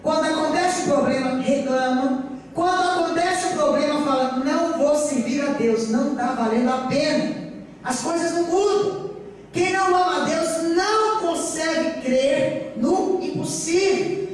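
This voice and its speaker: High-voiced Brazilian woman preaching in echoing hall. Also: unhurried. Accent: Brazilian, from Goiás. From Goiás, Brazil. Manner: emphatic, repetitive oratory with rhetorical questions to the congregation. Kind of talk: sermon with personal testimony. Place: church during a live service. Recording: echoey church hall, distant mic, faint noise.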